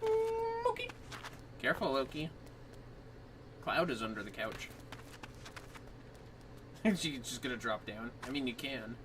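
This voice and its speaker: A man's voice: medium pitch, wildly swooping pitch, unhurried, pauses often, far from the mic, noisy background. A man chatting casually on a gaming livestream, guiding his partner as they play. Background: game music.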